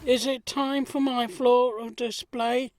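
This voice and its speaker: A woman's voice: fairly high pitch, steady tone, slow, darkish tone, slight background noise. A woman playing a sensitive, earnest young male gardener in an audio play. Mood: hurt.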